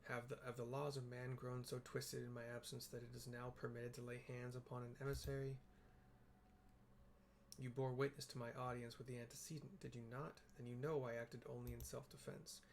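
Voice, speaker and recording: A man's voice: medium pitch, flat delivery, brisk, a little hiss or hum, lightly acted. A man reading aloud a video game's solemn, cryptic emissary of an ancient god, in formal, portentous phrasing. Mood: solemn, foreboding. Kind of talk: reading aloud game dialogue. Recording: music behind the voice.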